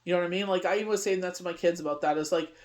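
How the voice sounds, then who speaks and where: A woman's voice: fairly low pitch, steady tone, rapid-fire, a little mellow. A middle-aged woman from Canada giving a casual daily tarot reading, rambling and constantly saying "you know what I mean". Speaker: tarot reader.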